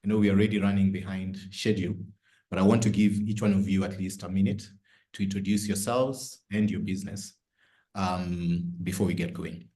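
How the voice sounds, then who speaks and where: A man moderating a virtual business panel over a video call, courteous and somewhat halting as he speaks. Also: sits low, steady tone, mellow.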